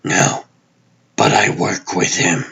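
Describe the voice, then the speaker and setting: A man voicing a guarded, commanding TV producer who is secretly a superhero in a comic dub, speaking tersely and deliberately in a husky, rough voice. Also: deep voice, steady tone, a bit bright, full character voice. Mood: serious, secretive.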